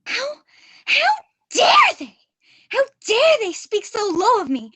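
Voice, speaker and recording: A young woman acts out a small girl with a murderous streak in a student radio play. Her high voice leaps around as she rants, theatrical and indignant. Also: wildly swooping pitch, slow pace, bright, forceful tone, no room sound, heavily acted. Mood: seething. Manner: ranting, indignant, melodramatic.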